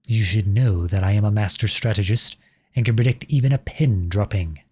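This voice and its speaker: A man's voice: lowish voice, dark tone, slightly rough. A man playing an impassioned, defiant young prince turned soldier in a scripted audio roleplay, with an earnest, dramatic delivery. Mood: disbelieving.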